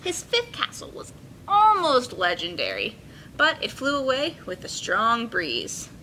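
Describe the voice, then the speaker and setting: Bright, high-voiced young woman, animated storytime host. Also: unhurried, low-quality recording. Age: young adult. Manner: expressive, encouraging. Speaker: children's librarian hosting yoga storytime. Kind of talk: picture-book reading and friendly instruction for children. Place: indoor children's room with carpeted steps. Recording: clear room noise, low rumble.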